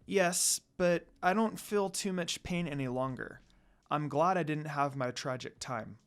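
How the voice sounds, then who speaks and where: A man voicing a gentle but outspoken young woman in a story reading, with a soft, earnest manner. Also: a little high, unhurried. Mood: tired.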